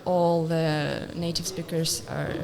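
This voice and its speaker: A woman's voice: sits low, little pitch movement, gravelly, darkish tone. A young woman with a Russian accent, a student guest, talking hesitantly with many fillers. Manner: hesitant, halting, many fillers, laughs often. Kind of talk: casual interview chat.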